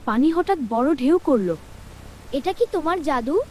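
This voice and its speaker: Woman as curious cartoon youngster, very lively intonation, short wondering lines, faint music. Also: high voice, a little slow. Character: curious young children and gentle mermaid in cartoon. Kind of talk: scripted children's cartoon dialogue.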